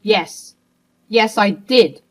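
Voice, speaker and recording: A woman's voice: medium pitch, drawn-out words. A woman voicing a cheating adult husband who stammers and pleads evasively. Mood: pleading.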